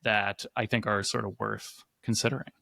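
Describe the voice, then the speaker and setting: A man with a somewhat low voice talks about poems in a hesitant, searching way. He starts over often and leans on fillers and hedges. The sound is dry, with no room echo. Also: flat delivery, vocal fry, sharp tone. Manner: halting, hedging, many restarts and fillers. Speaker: podcast co-host. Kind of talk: conversational chat and poetry reading.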